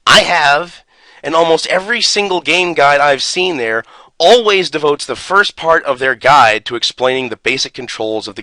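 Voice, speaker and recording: A man's voice: fairly high pitch, bright, forceful tone, no echo. A man speaking as a gaming YouTuber in an opinionated, sarcastic and exasperated manner with strong emphasis. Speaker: video game YouTuber. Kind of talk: opinionated rant and booklet reading.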